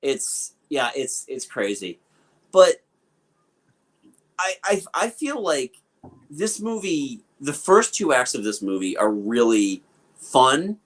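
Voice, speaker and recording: A man's voice: mid-range voice, very animated, slow, pauses often. Opinionated man riffing on a podcast. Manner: opinionated, riffing with lots of fillers. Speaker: podcast co-host. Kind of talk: casual film review chat.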